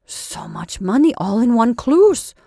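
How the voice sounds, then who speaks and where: A woman's voice: on the high side, very animated, dark tone, no room sound, studio quality, pitched above the performer's own voice, full character voice. Woman doing a placid old housewife. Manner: broken dialect grammar, drawn-out innocent questions. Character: placid older immigrant housewife, sly and unbothered.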